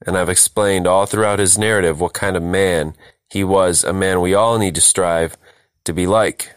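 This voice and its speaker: A man's voice: sits low, fairly even intonation, normal speed, no room sound, studio quality. A man leading a verse-by-verse Bible study, reading scripture and explaining it emphatically, repeating phrases for stress. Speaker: Bible teacher, host.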